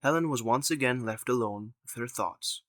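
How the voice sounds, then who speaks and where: A man's voice: mid-range voice, normal speed, a bit bright, slight background noise. A man narrating an audio drama in a scripted storytelling style.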